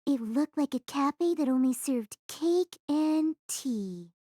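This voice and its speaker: A woman acts a chatty teenage schoolgirl in an audio drama. Her voice sits high yet stays mellow and soft-edged. She sounds cheerful, in a dry, close recording. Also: animated, takes it slowly, no room sound. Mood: chatty, recalling. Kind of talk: anime-style audio drama acting.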